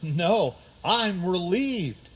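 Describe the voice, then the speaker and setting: A man's voice: sits high, expressive, extremely slow, slightly rough, full character voice. A man playing a beleaguered, overworked prince charming in a comic radio play, speaking plaintively. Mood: relieved, exasperated. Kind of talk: comic radio theater acting.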